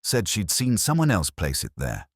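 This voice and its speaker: A man voicing a lively, composed nineteen-year-old female florist's assistant in a mystery story, casual and matter-of-fact. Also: on the low side, moderate pace, husky, no echo, clean studio sound, pitched above the performer's own voice, exaggerated voice.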